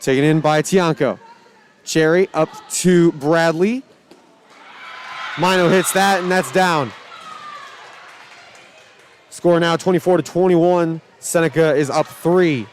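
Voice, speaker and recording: A man calling high school volleyball play by play, shouting excitedly over rallies, his voice starting to go. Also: takes it slowly, long pauses, slight background noise. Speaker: play-by-play announcer.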